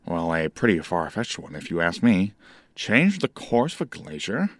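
A teenage actor playing a breezy, blunt insurance investigator in an old-time radio drama. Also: male voice, low-pitched, sing-song, moderate pace, no room sound, clean studio sound. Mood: incredulous.

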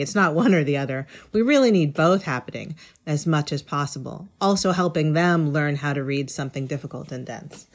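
A middle-aged woman speaking as an interview guest, explaining things in an articulate, thoughtful, conversational way. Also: on the low side. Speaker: interview guest, education writer.

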